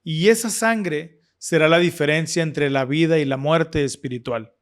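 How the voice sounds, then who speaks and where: A man hosts a scripture discussion in an earnest, reflective way. His voice sits a little higher than most men's. He often pauses on fillers and restarts his sentences. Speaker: podcast host.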